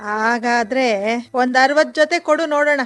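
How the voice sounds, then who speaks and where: A woman's voice: high voice, moderate pace, a bit bright, faint music behind. An actress voicing a polite, chatty housewife in her fifties in a radio drama. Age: 50s. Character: middle-aged housewife, proper and chatty.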